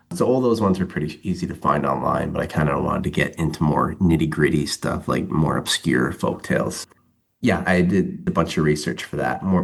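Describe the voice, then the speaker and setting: A man's voice: low-pitched, creaky, breathy edge. A man talking casually as an interview guest, rambling a little as he thinks aloud. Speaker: interview guest, comic writer.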